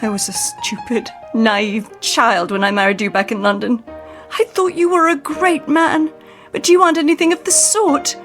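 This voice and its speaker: Long-suffering wife, high-pitched melodrama. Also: female voice, steady tone, moderate pace, fairly bright tone, faint music behind. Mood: bitter outburst. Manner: emotional, melodramatic, formal diction. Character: long-suffering, repressed, bitter wife of a cruel husband. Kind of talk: old-time radio drama acting.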